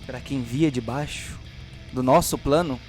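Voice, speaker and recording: A man's voice: medium pitch, unhurried, a little mellow. Male game master, mid-range voice, dramatic narration of a fantasy audio drama over light guitar.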